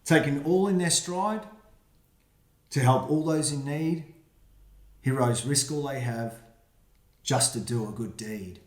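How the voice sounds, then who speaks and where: A man, the book's author, reading his rhyming picture book aloud for children, with quiet asides about the pictures. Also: mid-range voice, takes it slowly, long pauses. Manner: friendly, reads rhyming verse with quiet asides. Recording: roomy echo.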